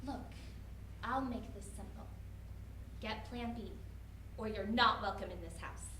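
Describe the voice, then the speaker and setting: A woman's voice: medium pitch, a little slow, natural voice. A woman playing a stern, controlling mother who is scolding and dismissive, in a staged dramatic reading. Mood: angry. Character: stern, controlling middle-aged mother, scornful of her daughter. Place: live presentation in a room at a college. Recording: distant mic in echoey, slightly noisy room.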